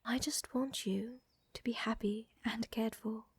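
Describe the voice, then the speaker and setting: A woman playing a tender, seductive vampire countess in an intimate ASMR roleplay, speaking softly and often in whispers, with refined affection. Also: a little high, steady tone, no room sound, heavily acted. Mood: wistful, earnest. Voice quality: soft, breathy, often whispering. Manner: slow, refined, affectionate, gently dominant. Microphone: close, dry microphone.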